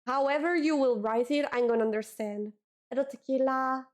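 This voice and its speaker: A woman's voice: sits high, normal speed. A young woman with an Italian accent, chatting casually with her stream audience, often repeating words and reading chat messages aloud.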